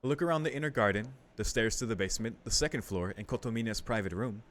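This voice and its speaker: A man streaming a game, casually commenting and reading text aloud, thinking out loud as he goes. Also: lowish voice, fast, a little hiss or hum. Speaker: let's play streamer. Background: game music.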